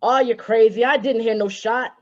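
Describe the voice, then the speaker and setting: A woman acts a blunt onlooker in old-time radio style. The voice is a bit high and openly skeptical, with dull, lo-fi sound. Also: fairly even intonation, moderate pace, close to an everyday voice. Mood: incredulous. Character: blunt, skeptical city bystander.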